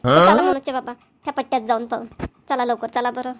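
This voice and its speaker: A woman acts a fretful village mother and farm wife in a cartoon comedy. The voice is high and plaintive, with a habit of repeating things. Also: expressive, a little fast, slight background noise, lightly acted. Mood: indignant.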